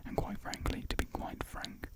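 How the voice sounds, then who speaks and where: A whispering reader voicing a flustered, polite English gentleman on the run. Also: normal speed, lightly acted. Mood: flustered, smitten. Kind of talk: ASMR whispered script reading.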